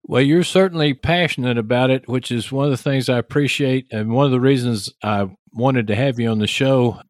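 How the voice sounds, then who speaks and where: A man's voice: mid-range voice, brisk, no echo. Texan man hosting a podcast, dry close sound. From Texas, United States. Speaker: podcast host. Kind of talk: podcast hosting, sponsor read and interview chat.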